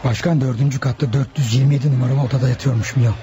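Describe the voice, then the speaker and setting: An actor playing a confident, commanding leader of hired killers in a Turkish radio drama. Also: male voice, medium pitch, steady tone, music in the background, natural voice. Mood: confident, plotting. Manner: brisk, commanding. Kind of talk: Turkish radio theatre acting. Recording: noisy old radio drama recording.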